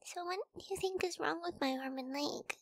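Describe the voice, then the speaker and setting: Woman voicing a young wolf girl, high voice, slight fry, soft and hesitant, often whispering, timid and sweet, close dry ASMR roleplay. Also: brisk, dry recording. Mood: shy, subdued. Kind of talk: ASMR girlfriend audio roleplay. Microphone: close microphone.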